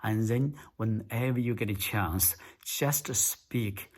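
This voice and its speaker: A man coaching viewers on speaking English in a casual tutorial, talking haltingly with many fillers and words repeated for emphasis. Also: lowish voice.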